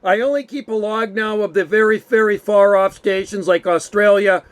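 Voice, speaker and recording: A man's voice: sits high, fairly even intonation, normal speed, clean tone. A man with a North American accent chatting casually and easily as an amateur radio host. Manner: easygoing, chatty, says "man" often.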